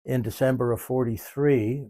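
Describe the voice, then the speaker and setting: A man in his seventies, talking in a measured, thoughtful way and pausing often mid-sentence, in an interview. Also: medium pitch, steady tone, dark tone, dry recording, studio quality. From Canada. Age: 70s. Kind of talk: reflective interview. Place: small museum.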